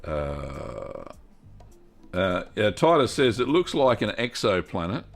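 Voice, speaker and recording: A fairly low-voiced middle-aged man talks through a relaxed live stream. He is chatty and upbeat, often restarting his sentences. Soft music sits faintly in the background. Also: slow pace, gravelly. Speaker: cheese-making livestream host. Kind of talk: casual live-stream chat.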